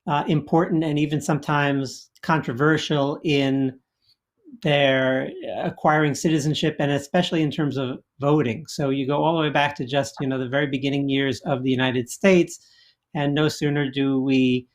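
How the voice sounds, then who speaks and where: A man explaining history on a panel in a measured, lecturing way. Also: a little high, steady tone.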